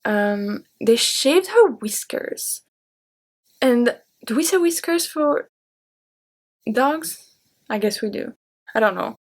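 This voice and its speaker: A woman's voice: a little high, expressive, takes it slowly, long pauses. French woman in her 30s, french accent, just chatting to camera, easygoing. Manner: chatty and open, with light laughs. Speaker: French teacher and YouTuber. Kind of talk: casual Q&A talking to camera.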